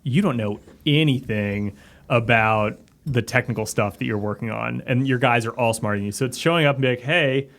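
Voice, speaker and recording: A man's voice: medium pitch, a little fast, fairly bright tone. A man in a casual interview, talking informally and reflectively as a podcast guest. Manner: informal, reflective, peppers talk with "like" and "kinda". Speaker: podcast guest, company CEO and former submarine officer.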